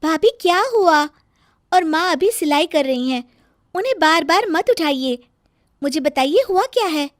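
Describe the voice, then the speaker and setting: Woman voicing a cheeky, high-pitched young sister-in-law. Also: expressive, unhurried, no room sound, clean studio sound. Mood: defensive.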